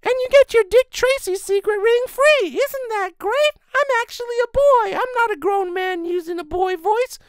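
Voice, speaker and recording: A man voicing an excitable, gushing young boy sidekick in an old-time radio serial. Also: extremely high pitch, moderate pace, few pauses, dry recording, pitched above the performer's own voice. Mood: eager. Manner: gushing, eager. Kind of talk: 1930s radio serial acting.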